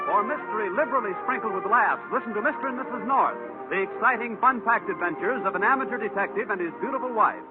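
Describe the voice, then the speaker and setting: A male radio announcer delivering mid-century ads and show credits with polished, emphatic salesmanship. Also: high-pitched. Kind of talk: 1940s-50s radio advertising and show announcing. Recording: tinny old radio recording. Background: music.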